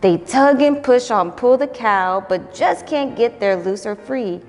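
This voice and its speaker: A young adult woman reads picture books aloud for children with an expressive, lilting delivery. Her pitch moves widely through each line. The recording has a long roomy echo and soft background music. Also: measured pace. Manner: expressive, sing-song read-aloud. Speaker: volunteer storybook reader. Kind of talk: children's storytime read-aloud.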